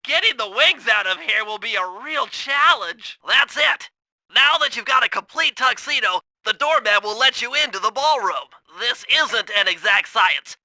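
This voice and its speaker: Video game role: brainy young male turtle gadget expert. Extremely sharp, bright tone, fussy technical delivery, very dry close recording. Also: very high-pitched, expressive, normal speed, no room sound, exaggerated voice. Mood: focused, explanatory. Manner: precise, wordy, technical instructing. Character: nerdy young male cartoon turtle, brainy, earnest gadget-minded strategist. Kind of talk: video game character voice acting.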